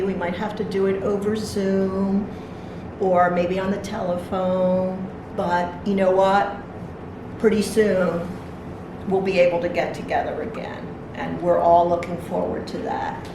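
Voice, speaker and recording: A woman reading a picture book aloud to young children, adding simple explanatory asides as a library storytime reader. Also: medium pitch. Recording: very echoey room, distant mic, noisy.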